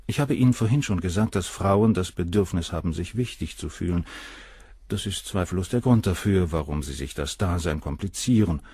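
An actor playing a haughty, self-assured gentleman who answers questioning in a condescending, matter-of-fact way in a radio crime drama. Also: deep voice, slightly rough, dry recording, studio quality.

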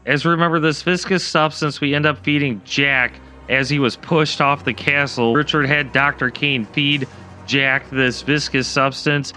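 Bright-voiced man narrating game lore. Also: a little high, fairly even intonation, moderate pace. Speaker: gaming video host. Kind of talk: scripted game lore commentary. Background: faint background music.

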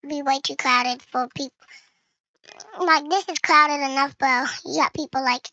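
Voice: high-pitched, fairly even intonation, unhurried, sharp tone, no room sound, lo-fi sound. A young gamer giving casual gameplay commentary, with the voice run through a voice changer. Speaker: gaming video creator.

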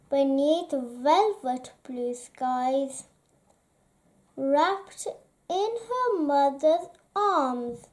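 Female bedtime-book reader, high and mellow, lulling delivery with pauses between phrases. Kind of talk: read-aloud bedtime story narration.

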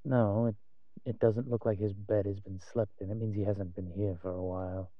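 A man playing a wry, cautious vampire father in an audio roleplay, speaking in a measured, musing way to the listener. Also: fairly low pitch, flat delivery, dry recording, dull audio, lightly acted. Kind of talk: scripted audio roleplay acting addressed to the listener.

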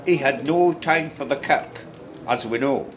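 An actor playing a chatty, wry Scottish storyteller in a radio drama, confiding and colloquial. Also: male voice, medium pitch, a little slow, close to an everyday voice. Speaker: narrator. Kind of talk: conversational radio drama narration.

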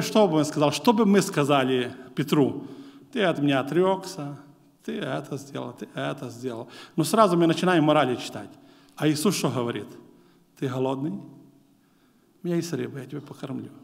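Ukrainian-accented preacher in a reverberant church. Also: male voice, on the high side. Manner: animated, gesturing, voice rising and falling. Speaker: guest preacher. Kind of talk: sermon with personal stories. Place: large church hall with congregation. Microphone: lectern mic.

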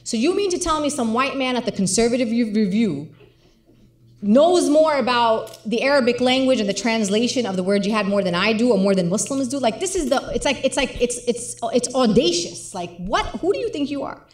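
A woman's voice: a little high, animated, brisk. A woman speaking on stage with a handheld mic in a lecture hall, impassioned and emphatic. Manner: impassioned, emphatic, gestures a lot. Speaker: guest speaker, organizer. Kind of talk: public conversation on stage. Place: large lecture hall with audience. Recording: roomy hall sound.

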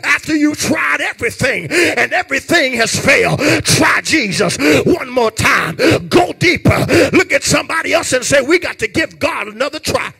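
Whooping high-voiced gravelly preacher. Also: male voice, animated, normal speed, few pauses, faint music behind. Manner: whooping, sing-song shouted preaching with call-and-response. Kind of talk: sermon.

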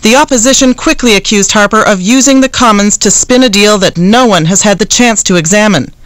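A woman's voice: mid-range voice, a little fast, dry recording. A woman with a Canadian accent reading a scripted radio news report.

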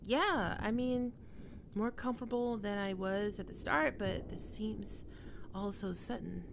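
A woman voicing a prickly, flustered young catgirl roommate in an intimate ASMR roleplay, halting and defensive but secretly soft. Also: mid-range voice, creaky, background noise. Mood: hesitant, tender.

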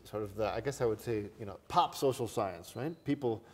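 A man's voice: lowish voice, brisk, long reverb tail. A middle-aged man hosting an interview, asking questions courteously in a library studio set. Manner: courteous, with brief acknowledgements. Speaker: interview host.

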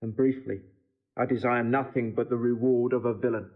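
A man's voice: medium pitch, flat delivery, normal speed, natural voice. An actor playing a proud, bitter villain's henchman in classical Shakespearean audio drama, on thin audio. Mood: remorseful. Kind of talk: classical Shakespearean radio acting. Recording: thin, phone-like band-limited audio.

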